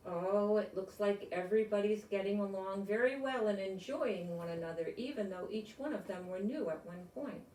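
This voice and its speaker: An older woman reading a picture book aloud with chatty asides, explaining gently and simply as if to children. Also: medium pitch, moderate pace, hardly any pauses, a little hiss or hum. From United States. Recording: roomy echo, distant microphone.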